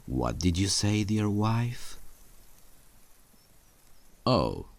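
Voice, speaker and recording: A man playing a menacing, possessive medieval king in an audio roleplay, condescending and coldly threatening, with a gravelly, husky voice. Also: fairly low pitch, very animated, very slow, heavily acted. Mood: stern, controlling. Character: menacing, possessive warlord king threatening his captive queen.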